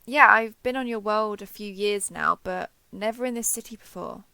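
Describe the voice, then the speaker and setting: A woman playing a giant friendly alien robot soldier in an audio roleplay, gentle, reassuring and a little awkward with the listener. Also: medium pitch, moderate pace, creaky, smooth, no room sound. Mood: friendly, earnest. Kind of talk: scripted roleplay audio drama addressed to listener.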